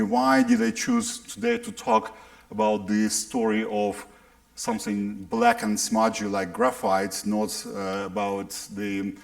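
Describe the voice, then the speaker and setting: A middle-aged man with a Russian accent delivers a public science lecture in a deeply gravelly, creaky voice. His pitch swings widely up and down. He speaks haltingly, repeating words and restarting phrases as he goes. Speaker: physicist giving a public lecture.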